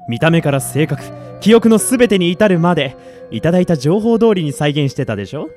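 A man plays a courteous but insistent company agent in a radio drama. His voice sits a little high and moves in lively swings of pitch, with music playing behind him. He stays businesslike and cool. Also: a little fast. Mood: polite, matter-of-fact. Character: coolly polite male collection agent from an android company. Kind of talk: Japanese audio drama acting.

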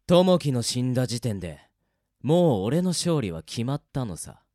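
A man playing a cocky, cruel death-game player in a Japanese radio drama, with rough, taunting, gloating speech. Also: mid-range voice, big pitch swings, normal speed, mellow, dry recording, studio quality, natural voice. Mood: menacing taunting.

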